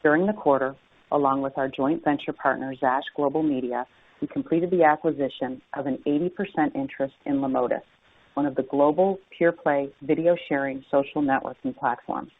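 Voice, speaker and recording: A woman's voice: extremely low pitch, fairly even intonation, a little fast. A woman giving a formal, scripted earnings presentation as a company executive over a conference phone line. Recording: dry, tinny narrowband phone line.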